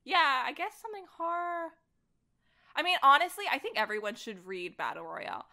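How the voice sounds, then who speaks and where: A woman's voice: sits high, big pitch swings, moderate pace, bright, forceful tone. A woman chatting casually about comics as a podcast guest, thinking aloud as she talks.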